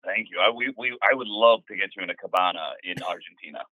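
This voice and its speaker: A man's voice: deep voice, fast talker, dry recording, phone-quality sound, pitched below the performer's own voice. A man playing a shady Scottish real estate swindler with a put-on Scottish accent, stammering and sly. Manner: stammering, sly deadpan, patter. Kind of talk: improvised comedy bit.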